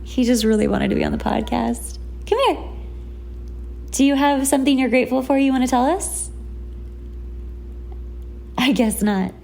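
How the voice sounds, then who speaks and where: A woman's voice: high-pitched, moderate pace, gravelly, long pauses, mellow, a little hiss or hum. A woman hosting a casual motivational solo podcast, talking in an informal, conversational and emphatic way. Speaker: podcast host.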